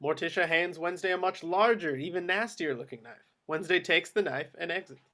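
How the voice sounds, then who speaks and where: Male narrator for a screenplay table read, fairly high-pitched, reading scene directions. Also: a little fast, sharp tone.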